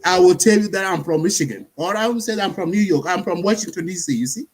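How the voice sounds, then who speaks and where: Husky man explaining. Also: high voice, fast talker. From South Sudan. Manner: explanatory, repeats "you see?". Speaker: panelist. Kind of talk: casual panel discussion.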